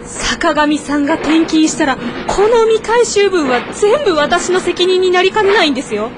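A woman playing a brisk, conscientious, scolding office colleague in a radio drama. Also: very high voice, lively intonation, background noise. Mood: stern, businesslike. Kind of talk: naturalistic radio drama acting.

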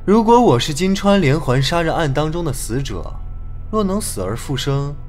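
A man's voice: a little high, big pitch swings, moderate pace, darkish tone, faint music behind. A man playing a bold, articulate young police detective who is a little flippant, in an audio drama. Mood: assured, probing. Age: young adult. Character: bold young male police detective, cheeky with his father. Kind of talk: Chinese audio drama acting.

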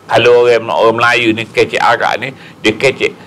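Older man, Patani Malay accent, animated folksy storytelling, faint hiss. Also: medium pitch, moderate pace. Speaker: guest. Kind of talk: casual conversational interview. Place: TV studio set, on a couch. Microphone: lapel mic.

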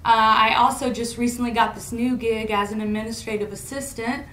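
A young woman from Indiana doing a stand-up comedy set, deadpan and self-mocking, often laughing at her own jokes, recorded by a camera out in a lecture hall. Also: on the high side, a little fast. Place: college lecture hall stage. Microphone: far camera in the room. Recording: echoey hall, audible room noise.

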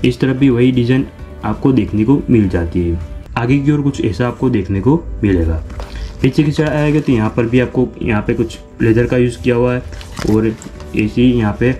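A man's voice: medium pitch, moderate pace, warm tone, faint music behind. A young man hosting his own unboxing channel, walking viewers through a product in a repetitive, explanatory way and calling them friends. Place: small room indoors.

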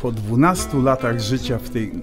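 An actor playing an excitable, sarcastic emigrant man with a colloquial, exasperated manner in an audio drama. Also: mid-range voice, normal speed, over music, lightly acted. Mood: exasperated relief.

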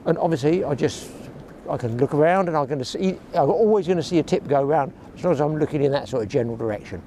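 A man's voice: a little high, lively intonation, fast, mellow. Older man rambling on a windy beach. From England. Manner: chatty, rambling, grumbling with dry humour. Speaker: fishing vlogger. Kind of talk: casual chat to camera. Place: open shingle beach outdoors. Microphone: action camera held close. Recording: outdoor beach noise behind.

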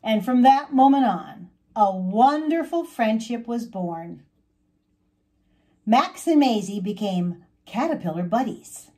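A woman reading a children's story aloud as its author, expressive and emphatic, drawing out words. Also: fairly high pitch. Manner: animated, emphatic, drawn-out words.

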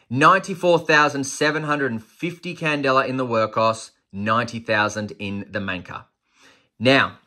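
Chatty man with a bright voice. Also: mid-range voice. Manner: chatty and enthusiastic, thinking aloud. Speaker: flashlight reviewer. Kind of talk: casual product review. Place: workshop desk indoors, then outdoors at night.

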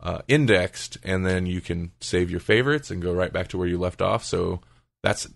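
Low-pitched man chatting casually on a podcast. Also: normal speed, gravelly, no echo, studio quality. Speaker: podcast host.

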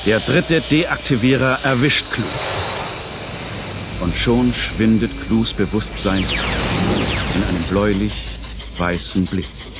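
A man's voice: fairly low pitch, slow pace, long pauses, very noisy. A man narrating a radio play from a script in a theatrical, suspenseful, articulate manner. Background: music.

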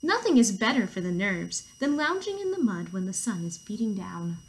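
A woman's voice: mid-range voice, big pitch swings, moderate pace, slight background noise, poor audio. A woman voicing a lazy, nervy pig in a storybook. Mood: languid. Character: lazy, anxious pig, easily panicked.

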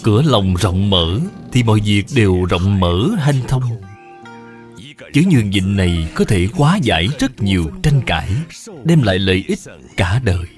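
A man's voice: lowish voice, animated, moderate pace, darkish tone, soft background music. A man reading scripted moral storytelling as a narrator.

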